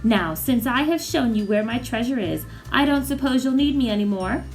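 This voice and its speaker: Storybook leprechaun, played by a woman: tiny old man, crafty, crotchety, sharp-tongued and whiny. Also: fairly high pitch, normal speed, faint music behind, pitched above the performer's own voice, lightly acted, low-quality recording. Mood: slyly polite. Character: sly, cranky tiny old male leprechaun.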